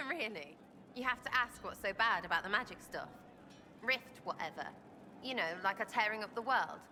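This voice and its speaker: A woman's voice: medium pitch, big pitch swings, moderate pace, sharp tone, long reverb tail, slight background noise. An actress playing a blunt, wary, irreverent young woman in a video game, with choppy, slangy phrasing. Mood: scornful worry. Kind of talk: video game dialogue acting.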